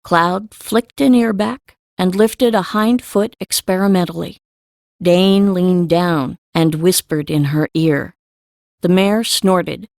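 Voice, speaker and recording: A woman's voice: on the low side, gravelly, darkish tone, dry recording, studio quality. A woman reading scripted story narration for an audiobook.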